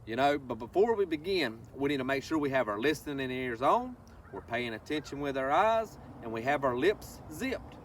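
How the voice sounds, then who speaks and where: A man's voice: mid-range voice, very animated, normal speed. A man reading a picture book to children, speaking to them directly in a folksy, instructive way. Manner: instructive, addresses listeners directly with folksy asides and questions. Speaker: presenter of a children's read-along. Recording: distant microphone with faint background noise.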